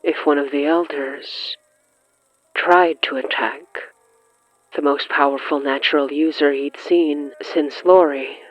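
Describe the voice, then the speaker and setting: A slow narrator with a mid-pitched voice reads dramatic horror fiction in deliberate, suspenseful fragments. The sound is muffled and lo-fi, like a radio, with music underneath. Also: steady tone, dry recording. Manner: measured, deliberate, broken into short suspenseful phrases.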